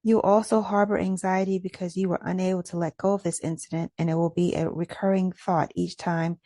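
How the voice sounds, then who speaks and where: A woman leading a guided meditation in a gentle, measured, instructional way. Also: lowish voice, little pitch movement, no room sound.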